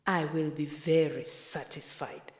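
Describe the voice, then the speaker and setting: A woman voicing an eager, scheming old village man in a storybook, with slow, emphatic declarations. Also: low voice, voice pushed down. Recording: echoey, roomy sound.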